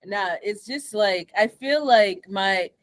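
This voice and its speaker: A woman's voice: mid-range voice, slow, a little hiss or hum. A young woman with a Kenyan accent, co-hosting a podcast in casual chat, blunt and swearing freely as she rants. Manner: blunt, swears freely, animated when ranting. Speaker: podcast co-host.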